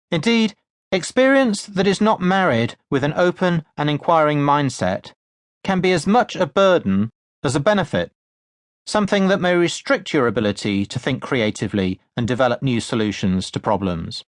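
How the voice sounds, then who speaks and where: A man reading his own nonfiction audiobook in a scripted, measured way with deliberate, emphatic stresses, recorded in a studio. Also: on the high side, no room sound. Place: close, dry studio recording.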